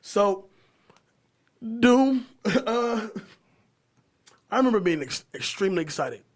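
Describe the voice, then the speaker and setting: American guy on a podcast, kinda high voice, slangy animated movie chat. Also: slow. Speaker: podcast panelist. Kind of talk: casual chat about movies.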